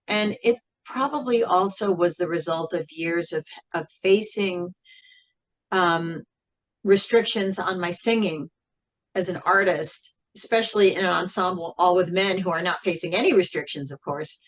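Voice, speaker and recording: A woman talking reflectively as an interview guest, thoughtful and measured, with frequent pauses. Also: lowish voice. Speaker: interview guest, singer and writer.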